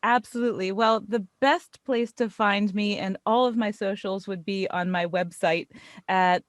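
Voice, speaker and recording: A woman's voice: medium pitch, steady tone, moderate pace, no echo, clean studio sound. An American woman, a voice actor, chatting casually as a guest in a podcast interview. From United States.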